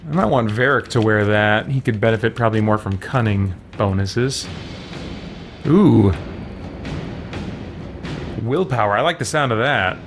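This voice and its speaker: A man's voice: fairly low pitch, measured pace, long pauses. Man's casual gameplay commentary over music. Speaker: gaming video host. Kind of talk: casual let's play commentary, thinking aloud. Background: game music.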